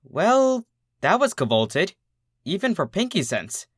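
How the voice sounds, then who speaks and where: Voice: mid-range voice, very animated, slow, slightly rough, dry recording, studio quality, voice pushed up, full character voice. A narrator voicing a cheeky little boy dragon with a blunt manner. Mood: incredulous.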